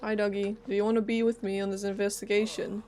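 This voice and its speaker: A woman's voice: mid-range voice, little pitch movement, fast talker, dark tone, slight background noise. A woman doing casual live gameplay commentary, informal, playful and reactive as a streamer.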